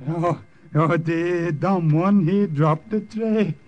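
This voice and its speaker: Meek innkeeper type, slow and hesitant, soft mellow tone, old radio. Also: male voice, a little high. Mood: bewildered. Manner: hesitant, halting, apologetic. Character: timid, deferential Norwegian uncle hiding saboteurs. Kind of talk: old-time radio drama acting. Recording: dull, muffled old radio recording.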